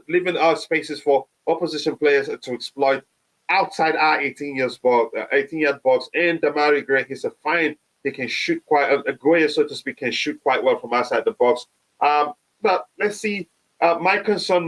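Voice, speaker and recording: A man, hosting a live fan stream and reading viewer comments, halting with many fillers, his voice still touched by a cold. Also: on the high side, fairly bright tone, low-quality recording. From Nigeria. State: recovering from a cold. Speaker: fan channel host.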